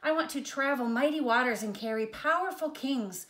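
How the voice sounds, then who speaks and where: Woman voicing an earnest, high-voiced little tree. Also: normal speed, fairly bright tone. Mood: dreamy, proud. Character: hopeful, ambitious little trees, young and earnest. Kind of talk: storybook character reading.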